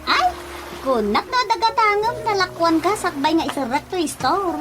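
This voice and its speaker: An actress playing a chatty, gossipy village woman in an Ilocano radio drama comedy, with an Ilocano accent and an animated, familiar delivery. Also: very high voice, moderate pace, over loud music. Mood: chatty, teasing.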